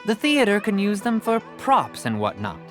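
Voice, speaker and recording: A man's voice: high-pitched, wildly swooping pitch, normal speed, a bit bright, exaggerated voice. An actor playing a jovial, persuasive merchant with salesman patter, in video game dialogue. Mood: insistent. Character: smooth-talking adult male merchant, jovial salesman. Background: game music.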